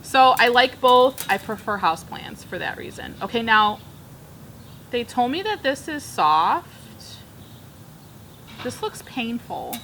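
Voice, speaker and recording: A woman in her thirties talks in an upbeat, chatty way while she works on her plants, her voice bright and clear. She is recorded outdoors on a backyard patio by a camera across the table, with faint outdoor noise behind her. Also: on the high side, big pitch swings, slow pace. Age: 30s. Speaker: plant vlogger. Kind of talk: casual chat while repotting plants. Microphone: camera framing from across a table.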